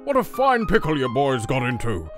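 A man's voice: mid-range voice, wildly swooping pitch, moderate pace. A man voicing a grumpy, dismissive old male kettle elder in a cartoon comic dub, scolding as he talks. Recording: loud music under the voice.

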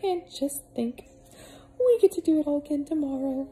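Squeaky chirpy storybook housekeeper lady, a woman doing the voice, cheery but jumpy. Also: animated, normal speed. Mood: forced cheer. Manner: bright, fluttery, forced cheer. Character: fussy, cheery, secretly frightened middle-aged woman caretaker. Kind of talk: storybook read-aloud.

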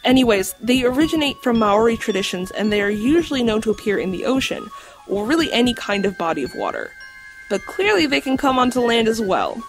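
A woman playing an earnest, scholarly guide to mythical creatures in an audio drama, explaining in a measured, slightly formal way. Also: a little high, very animated. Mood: explanatory. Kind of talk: audio drama acting, storytelling. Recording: music under the voice.

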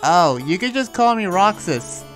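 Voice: medium pitch, sing-song, unhurried, soft background music. A performer improvising a polite, awkward young villager in a Minecraft roleplay, talking in a hesitant, rambling way. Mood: flustered, friendly.

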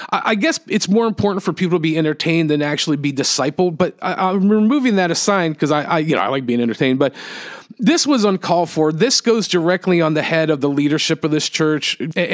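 A man hosting his own podcast, giving earnest, halting commentary with frequent restarts. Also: on the high side. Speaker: podcast host.

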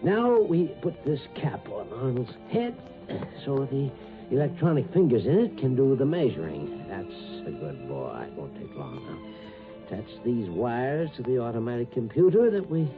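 Creepy fussy doc, smug, real sing-song low voice, vintage radio drama w music behind. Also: male voice, narrow, tinny audio. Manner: muttering, gleefully patronizing. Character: fussy, self-satisfied sinister male doctor. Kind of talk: 1950s radio drama acting.

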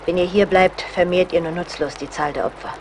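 An actress in a German radio play as a brave, self-sacrificing young colonel's daughter, resolute and pleading. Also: female voice, on the low side, fairly even intonation, a little fast, background noise, lightly acted.

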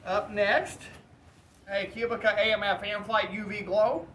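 A man's voice: high voice, fairly even intonation, a little slow, a bit bright. A young man presenting a casual video as its host, recorded outdoors under an open pavilion from a camera a few metres away. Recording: far from mic, noisy open-air recording.

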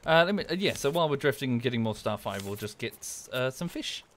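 A man's voice: mid-range voice, lively intonation, fast, a bit bright, slight background noise. A man chatting casually and a little hesitantly as he streams a game. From United Kingdom. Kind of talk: casual gaming stream chat.

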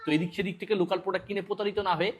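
A man with a Bangladeshi accent giving a promotional sales pitch as a product presenter for an online shop. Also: little pitch movement, racing.

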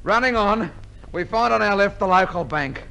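A man's voice: high voice, animated, moderate pace, creaky, bright, forceful tone, exaggerated voice. An actor playing a weary, grumbling working man in a 1950s radio comedy. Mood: worn out. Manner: grumbling, colloquial. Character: weary, grumbling working-class male rickshaw puller. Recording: noisy 1950s radio recording.

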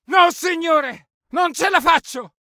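Urgent high-pitched Italian soldier. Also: male voice, takes it slowly, no room sound, studio quality, full character voice. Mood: frantic, urgent. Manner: forceful, urgent barking. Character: urgent young Italian infantry soldier in battle. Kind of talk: shouted battle orders for a war video game.

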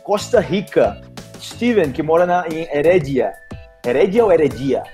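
A man in his thirties from Brazil runs a relaxed video-call interview, talking through an earphone mic in a small room. His voice sits a little high and he keeps up a chatty, upbeat flow full of fillers. Faint music plays underneath. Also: moderate pace. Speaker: host. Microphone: earphone headset mic.

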